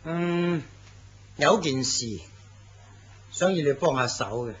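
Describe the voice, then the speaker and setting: An actor playing an arrogant, domineering company president in a radio drama. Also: male voice, a little high, slow, slightly rough. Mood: commanding. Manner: curt, domineering. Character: arrogant middle-aged male company president. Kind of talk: Cantonese radio drama acting. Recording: dull lo-fi recording.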